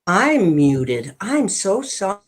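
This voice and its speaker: A woman's voice: mid-range voice, very animated, takes it slowly, slightly rough. A woman speaking informally as a reader at a spiritual service. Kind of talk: informal self-introduction.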